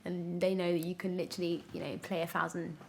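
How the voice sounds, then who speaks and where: A woman's voice: fairly low pitch, little pitch movement, quick pace, gravelly, slight background noise. A British woman in her twenties giving a casual podcast interview as a young actor, emphatic and often breaking off to restart her thought. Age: 20s.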